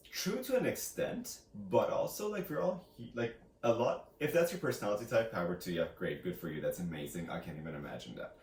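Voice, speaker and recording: A man co-hosting a casual book chat, retelling things in a rambling way and often acting out quotes. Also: sits low. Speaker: co-host of a book review channel. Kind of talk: casual chatty book discussion. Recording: roomy echo, distant mic, faint hiss.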